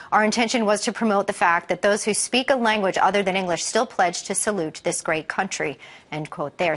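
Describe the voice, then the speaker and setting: Brisk woman news anchor. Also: mid-range voice, fairly bright tone. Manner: brisk, probing questions. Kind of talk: cable news interview.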